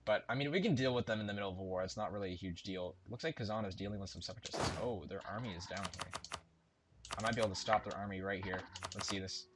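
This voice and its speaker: A man's voice: lowish voice, fast talker, heavy background noise. A man casually commentating a strategy game as he plays, thinking aloud and correcting himself as he goes. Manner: thinking aloud while playing, chatty and self-correcting. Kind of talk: casual let's-play commentary. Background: game music and keyboard typing.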